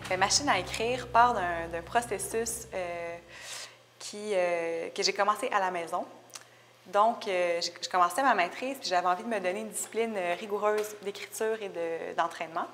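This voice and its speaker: A woman's voice: mid-range voice, normal speed, a bit bright, faint music behind. A young woman from Rimouski with a Québécois accent, a visual artist explaining her work in a thoughtful, searching way. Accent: Québécois French. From Rimouski, Quebec. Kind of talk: casual interview about her work. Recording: long reverb, faint background noise.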